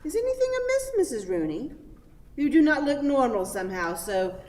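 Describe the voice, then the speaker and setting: An actress playing a prim, pious, dreamily distracted spinster in a radio play, with an affected, fluttery, sanctimonious manner. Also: female voice, high voice, big pitch swings, moderate pace, darkish tone. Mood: vague, distant. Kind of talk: theatrical radio play acting. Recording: echoey hall with long reverb.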